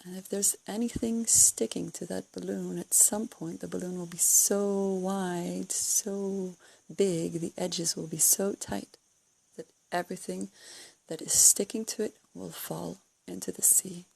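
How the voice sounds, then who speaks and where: A woman leading a guided meditation, giving calm, unscripted instructions in a soothing, breathy way with gentle pauses. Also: lowish voice, poor audio. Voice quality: breathy, husky, creaky.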